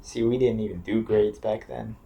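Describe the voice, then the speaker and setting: A man's voice: fairly low pitch, fairly even intonation, moderate pace, gravelly, warm tone. A man with an American accent hosting a podcast from a house, talking in loose, profane banter. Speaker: podcast host. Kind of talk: casual chat. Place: grandparents' house, indoors.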